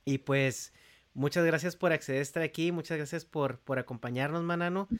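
A man's voice: fairly high pitch, steady tone, brisk. A man hosting a podcast, introducing things in an informal, friendly, conversational way. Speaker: podcast host.

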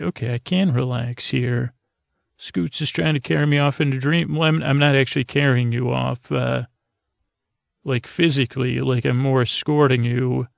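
Male bedtime podcast host, heavy vocal fry, dry recording, meandering self-deprecating delivery with halting, unfinished thoughts. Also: medium pitch, no room sound. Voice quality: gravelly, creaky. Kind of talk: rambling bedtime podcast monologue, casual.

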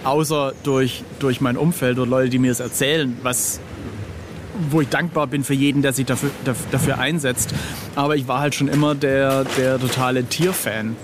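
A man's voice: mid-range voice, steady tone, normal speed, music in the background. A middle-aged man talking casually as an interview guest, hesitant and drily self-deprecating. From Germany.

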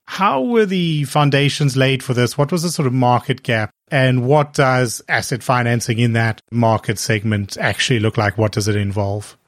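Articulate podcast host, dry sound. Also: male voice, medium pitch, normal speed, hardly any pauses, dry recording. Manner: articulate, curious, reads a polished opening. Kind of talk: scripted intro and interview questions.

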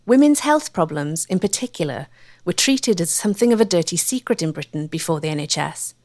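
Animated woman narrating a documentary. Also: mid-range voice, no echo, clean studio sound.

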